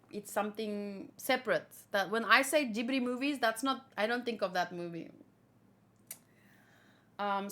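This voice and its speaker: Girl w kinda high voice doing a manga read along, animated fan chatter, casual host style. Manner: animated fan chatter, reading dialogue aloud.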